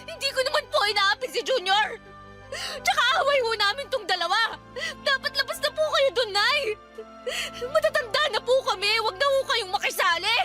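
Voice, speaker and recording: A woman's voice: very high-pitched, animated, brisk, over loud music. A woman playing an aggrieved, combative young live-in partner and mother in a Filipino radio drama, protesting defiantly. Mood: defiant, exasperated. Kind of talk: Tagalog radio drama acting.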